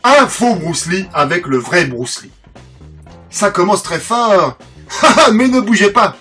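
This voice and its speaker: A man's voice: high-pitched, expressive, slow, pushed and bright, faint music behind. A French man hosting a channel, narrating film history in a casual, joking way, often stumbling over names and mocking himself. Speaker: channel host and collector.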